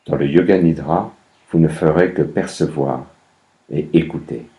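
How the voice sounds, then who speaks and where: A man guiding a meditation as a yoga nidra instructor, speaking calmly in measured instructions with long pauses between phrases. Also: rumbling low, rough recording. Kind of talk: guided meditation, slow instructions.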